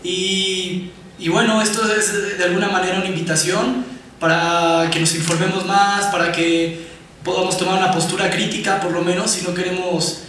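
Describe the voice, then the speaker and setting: A man's voice: high-pitched, little pitch movement, moderate pace, fairly bright tone. A man on a panel, speaking hesitantly with many fillers and tag questions. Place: festival screening hall. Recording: echoey hall, distant mic, faint noise.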